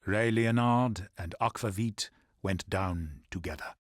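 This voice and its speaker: An actor playing a weary, regretful war narrator in a video game cutscene, grave, measured and reflective. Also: male voice, fairly low pitch, dry recording, studio quality, close to an everyday voice. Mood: somber, reflective. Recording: loud music behind narration. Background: loud game soundtrack.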